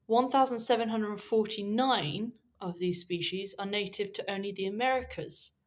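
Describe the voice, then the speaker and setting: Enthusiastic young woman chatting, medium pitch. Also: moderate pace. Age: young adult. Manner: enthusiastic, easily impressed. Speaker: podcast co-host. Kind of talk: casual podcast chat.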